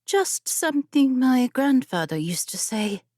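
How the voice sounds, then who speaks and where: A bookish young woman on her travels, as a female narrator voices her, speaks in an eager, wordy way. She is musing and a little self-conscious, a storybook heroine recorded close and dry with unhurried delivery. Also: high-pitched, sing-song, darkish tone, dry recording, voice pushed up, exaggerated voice.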